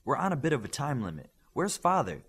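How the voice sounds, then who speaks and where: A man voicing a brisk, bossy young Japanese superheroine in an audiobook, speaking in an assertive, instructing way. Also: medium pitch, very animated, fairly bright tone, husky, pitched above the performer's own voice, exaggerated voice.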